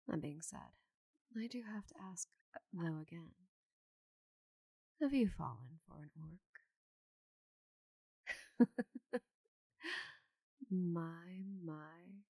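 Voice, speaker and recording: A woman voicing a giant female orc knight in an ASMR roleplay, confident, teasing and loyal, with soft breathy asides. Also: fairly low pitch, dry recording, full character voice. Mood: fond reminiscing, then teasing, friendly. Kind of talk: ASMR roleplay, intimate slow delivery.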